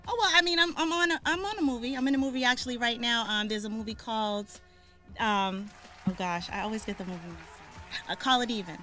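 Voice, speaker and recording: A woman's voice: fairly high pitch, fast talker, bright, forceful tone, faint music behind. A woman from Boston, a guest on the show, talking casually with lots of ums and restarts. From Boston, Massachusetts. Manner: chatty, lots of ums and restarts, laughs easily. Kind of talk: casual interview talk.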